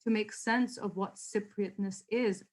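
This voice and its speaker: A woman's voice: medium pitch, steady tone, measured pace, darkish tone. A woman on a panel speaking earnestly over a video call, searching for her words and often saying "like". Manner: earnest, searching phrasing with frequent "like". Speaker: panelist and poetry reader.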